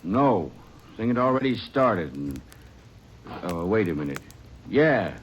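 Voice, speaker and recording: A man's voice: deep voice, sing-song, heavily acted. An actor playing a nervous, defensive male murder suspect who hesitates and hurries his answers, in 1940s radio drama style. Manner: defensive, hesitant and quick when pressed. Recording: dull old radio recording with faint hiss.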